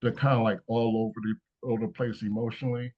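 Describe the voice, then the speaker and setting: Low-voiced man, rambling casually, dull audio. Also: flat delivery, fast talker. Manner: hesitant, rambling, frequent "you know". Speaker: screenwriter answering questions. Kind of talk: casual Q&A talk after a script read.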